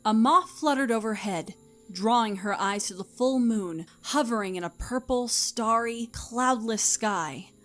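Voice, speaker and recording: A woman reading scripted story narration as the narrator, in an expressive storytelling manner. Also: fairly high pitch, a little slow, faint music behind.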